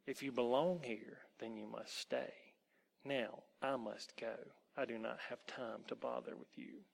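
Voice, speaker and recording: A man voicing a cold, imperious young wolf-woman in a storybook tale, speaking slowly and ominously. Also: medium pitch, fairly even intonation, creaky. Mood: cold dismissive. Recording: long hall-like reverb.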